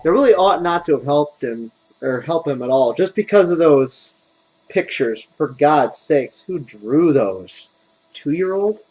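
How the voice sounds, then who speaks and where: A man's voice: a little high, lively intonation, slow pace, darkish tone, slightly rough, poor audio. A man giving casual, wry, grumbling gameplay commentary as he plays. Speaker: game streamer.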